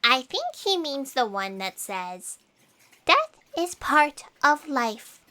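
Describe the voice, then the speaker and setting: Eager, flighty female researcher: high-ish, sharp, very animated, drawn-out phrasing. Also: full character voice. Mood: eager, flustered. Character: eager, scatterbrained female fact-checker.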